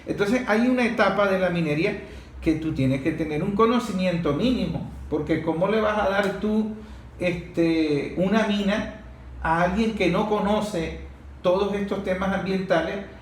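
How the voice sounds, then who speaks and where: High-pitched Venezuelan man interviewing. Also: moderate pace. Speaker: interviewer, host. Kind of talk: conversational interview questioning. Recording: echoey room with faint noise.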